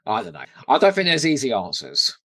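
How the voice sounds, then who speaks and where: A British man co-hosting a podcast in casual, expressive and emphatic conversation. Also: medium pitch, fairly quick, dry recording, clean studio sound. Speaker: podcast co-host. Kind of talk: casual chat.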